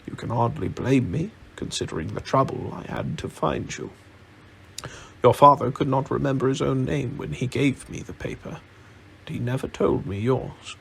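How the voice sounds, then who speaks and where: A man playing a grave, proud old wizard who speaks in a slow, admonishing way for a storybook. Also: mid-range voice, creaky, fairly bright tone, a little hiss or hum, rough recording. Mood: testy, self-justifying.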